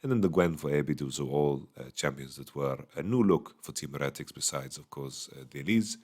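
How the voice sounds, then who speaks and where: A man with a slightly hoarse voice, casually giving a solo esports recap from a small hotel room into a microphone, thinking aloud with many fillers. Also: very deep voice, fairly quick, a little mellow. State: voice going a bit hoarse. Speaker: esports analyst. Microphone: studio microphone.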